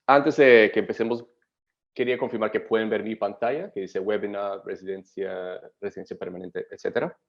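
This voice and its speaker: Man, low voice, muffled webinar audio. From Australia. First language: English. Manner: halting, with many fillers and self-corrections, checks in with "¿ok?". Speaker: migration agent presenting a webinar. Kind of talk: explanatory webinar presentation.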